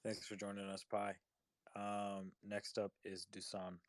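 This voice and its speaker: A man moderating the session, passing the floor to each caller with brief, relaxed handoffs. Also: on the low side, a little slow, dry recording, studio quality.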